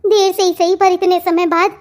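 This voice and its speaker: A restless young wife in a cartoon family drama, played by a woman. The voice is very high and girlish in pitch. She sounds lovesick, pleading and eager, with plenty of drama. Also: flat delivery, moderate pace, darkish tone. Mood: eager, secretive. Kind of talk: melodramatic family cartoon dialogue.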